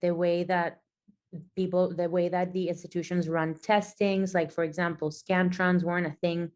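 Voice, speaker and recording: A woman's voice: lowish voice, level tone, moderate pace, a little mellow, muffled recording. A woman from Venezuela, a physical therapist and athlete, telling her story as a podcast guest in a thoughtful, conversational way with many restarts. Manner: thoughtful, with frequent restarts and fillers. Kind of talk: conversational interview answers.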